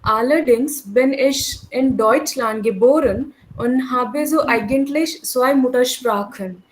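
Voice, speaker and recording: A woman student on a video call with a high voice, reading aloud slowly and carefully. She works through a German textbook passage. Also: low-quality recording. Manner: reads carefully and unhurriedly.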